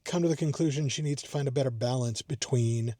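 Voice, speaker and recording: Mellow, rambling man chatting in a car. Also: medium pitch, quick pace, no echo, studio quality. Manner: rambling, self-deprecating, thinking aloud. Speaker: amateur radio vlogger. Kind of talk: casual unscripted vlog talk. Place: inside a parked car.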